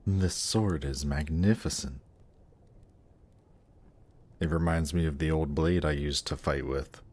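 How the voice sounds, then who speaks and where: A male actor performs a suave demon prince in a fantasy roleplay drama. His voice is exceptionally deep and he takes his time over every phrase. He sounds polished and regal, with a measured, condescending courtliness. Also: darkish tone, heavily acted. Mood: gracious, pleased. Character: suave, haughty demon prince ruling a circle of Hell.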